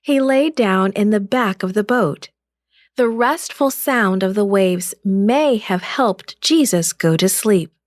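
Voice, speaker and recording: A woman reading a scripted children's Bible story in a measured, careful read-aloud manner. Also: medium pitch, animated, no echo, studio quality.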